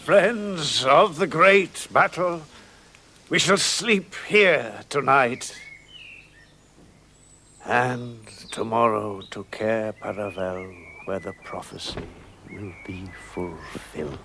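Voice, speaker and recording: A man's voice: mid-range voice, lively intonation, slow, pushed and bright, a little hiss or hum, heavily acted. An actor playing a great lion king in an audio drama, wise and stern, speaking in a grave, stately, declamatory manner. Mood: solemn, regal. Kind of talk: dramatised audio story acting.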